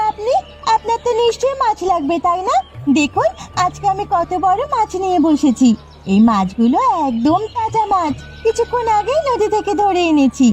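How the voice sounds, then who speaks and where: A woman's voice: very high-pitched, voice pushed up. A woman voicing a pushy, money-minded younger sister who sells fish, wheedling and complaining in a cartoon. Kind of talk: Bengali cartoon dialogue. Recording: slightly muffled audio with background music. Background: background music.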